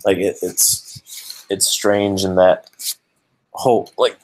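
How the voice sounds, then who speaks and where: A man who is the interviewer asks long, winding questions that stop and restart often. His voice sits very low. He sounds casual and unhurried. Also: flat delivery, darkish tone, rough recording. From Hawaii.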